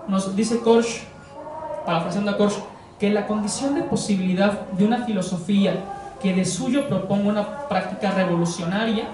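A man's voice: high-pitched, far from the mic, noisy background. Young man lecturing in an echoey room. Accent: Mexican Spanish. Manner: measured, explanatory, frequent hedging fillers. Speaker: course lecturer. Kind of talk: academic lecture on philosophy. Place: living room with sofas, indoors. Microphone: far camera.

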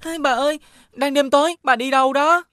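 A woman's voice: sits high, steady tone, a little fast, fairly bright tone, dry recording, studio quality. A woman voicing an anxious, devoted young village boy with a Southern Vietnamese accent in a cartoon fairy tale. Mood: concerned. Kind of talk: animated fairy-tale dubbing.

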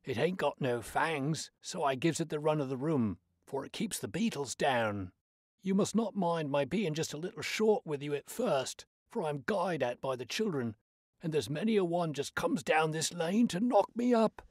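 A shrill, reedy old animal keeper with a querulous, working-class way of talking. His voice leaps high and swings wildly up and down. The sound is dry and close, with no room around it. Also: lively intonation, moderate pace, no room sound. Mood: chatty, fussing. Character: cranky old male animal keeper, lanky, gruff.

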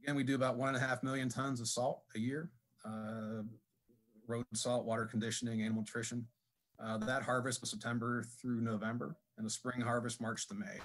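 A man giving a technical presentation at a conference, speaking haltingly with many fillers and restarts. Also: medium pitch, flat delivery, fairly bright tone, poor audio. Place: conference session room.

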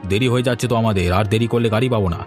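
A man voicing a busy son-in-law in a cartoon who talks briskly and impatiently. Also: medium pitch, steady tone, a little mellow, faint music behind, lightly acted. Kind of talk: cartoon voice acting.

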